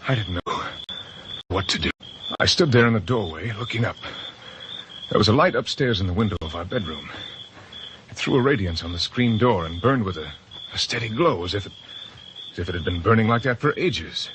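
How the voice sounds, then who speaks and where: An actor playing a guilt-ridden, anxious husband telling his story in a halting, overwrought old-time radio drama style. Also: fairly low pitch, animated, background noise, lightly acted. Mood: tense dread. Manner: halting, stammering, overwrought delivery. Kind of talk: old-time radio drama acting, first-person confessional narration. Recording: old radio broadcast with music bed.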